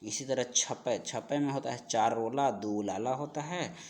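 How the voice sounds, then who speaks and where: A male teacher with a mid-pitched voice delivers an exam-prep lecture. He reads each question aloud, then explains it in a didactic manner, restating the key answer so it sticks. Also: unhurried, a little mellow. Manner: didactic, repeats answers for emphasis.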